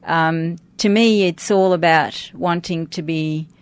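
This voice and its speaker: A middle-aged woman speaks in a somewhat low register, recorded dry with hardly any room sound. English is her second language. She talks informally in an interview, pausing and rephrasing as she thinks aloud. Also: fairly even intonation, smooth, dry recording. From grew up outside Australia. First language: a language other than English. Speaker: interview guest, church worship team member. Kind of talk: conversational interview.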